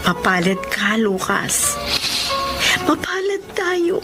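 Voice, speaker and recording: An actress with a Filipino accent playing a tender, devoted wife in a radio drama. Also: female voice, a little high, big pitch swings, slow pace. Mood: tender, moved. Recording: studio drama with loud music behind.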